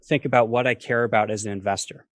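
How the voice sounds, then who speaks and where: A man speaking as a guest expert on a video call, explaining step by step in a measured, academic way with worked examples. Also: lowish voice, fairly even intonation, no room sound, studio quality. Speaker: finance professor, guest expert. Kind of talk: explanatory talk on a livestream.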